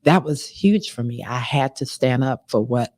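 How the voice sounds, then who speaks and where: A woman's voice: a bass voice, normal speed, darkish tone, no echo, studio quality. A woman hosting a candid talk show, emphatic and encouraging, often repeating herself for weight. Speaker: show host.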